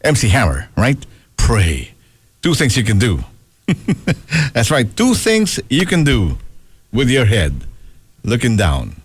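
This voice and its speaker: A man's voice: mid-range voice, wildly swooping pitch, slow, pauses often, slightly rough, dry recording. A man hosting a music radio show as DJ, with playful patter and joking asides between songs. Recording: radio aircheck with loud music behind. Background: loud pop music.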